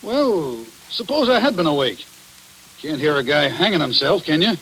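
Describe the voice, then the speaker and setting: An actor in an old radio drama playing a slack, talkative small-town jailer with a folksy, colloquial and defensive way of talking. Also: male voice, on the high side, big pitch swings, normal speed, a bit bright, breathy edge, heavily acted, low-quality recording. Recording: hissy vintage radio broadcast recording.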